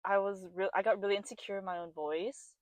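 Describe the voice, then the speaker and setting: Young woman, self-mocking animated chat. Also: mid-range voice, fast, dry recording. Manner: animated storyteller, self-deprecating, laughs often. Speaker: podcast co-host. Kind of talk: casual chat.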